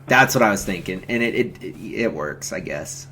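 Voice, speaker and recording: A man hosting a casual film commentary stream, talking in a halting, rambling, slangy way. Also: low voice, lively intonation, sharp tone, slight background noise. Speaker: host of a film commentary stream, amateur horror filmmaker.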